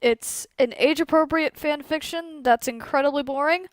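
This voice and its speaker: A woman's voice: sits high, normal speed, dry recording. A woman co-hosting in a casual, chatty style. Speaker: channel co-host. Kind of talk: casual chat.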